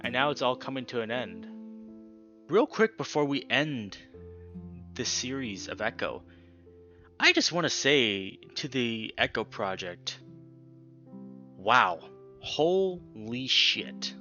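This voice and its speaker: A man giving casual, emphatic game commentary as a let's play host, pausing dramatically and swearing mildly. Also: mid-range voice, expressive, pushed and bright, lo-fi sound. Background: guitar music.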